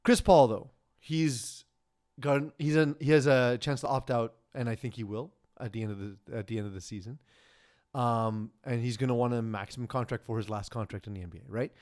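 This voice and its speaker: A man in the middle pitch range hosts a casual sports podcast. He talks in a chatty, rambling way, often restarting his sentences, and laughs easily. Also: steady tone, brisk, darkish tone. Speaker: podcast host.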